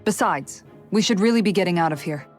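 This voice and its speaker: A woman's voice: lowish voice, lively intonation, a little fast, a little mellow, close to an everyday voice. A woman playing a caring, practical party companion in a video game, matter-of-fact and firm. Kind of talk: dramatic video game acting. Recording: music under the voice.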